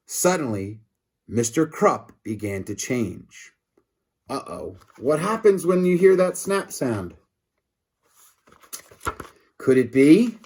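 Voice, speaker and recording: A man narrates a children's book aloud with dramatic expression. His fairly high voice moves up and down in a lively way, and he drops in casual asides to his young listeners. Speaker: storybook reader for children.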